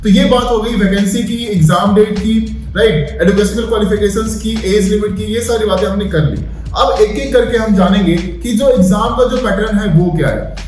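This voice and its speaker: A man's voice: sits high, darkish tone. A man giving a methodical, explanatory coaching lesson, often adding little check-in tags as a teacher does. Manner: methodical, often checks with tag words like "right" and "okay". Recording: very echoey room, distant mic, music behind.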